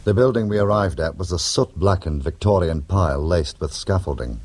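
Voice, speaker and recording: Very deep-voiced, wry male rogue. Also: expressive, normal speed, darkish tone, slightly rough, exaggerated voice. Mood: wry, atmospheric. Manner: sardonic, mocking, glib. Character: wry, cheeky, wisecracking male criminal who also narrates. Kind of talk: radio drama acting and narration.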